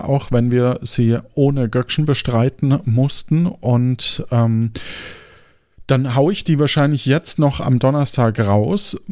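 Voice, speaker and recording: A man's voice: medium pitch, a little mellow, dry recording, clean studio sound. A man hosting a podcast in a casual, chatty style. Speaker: podcast host.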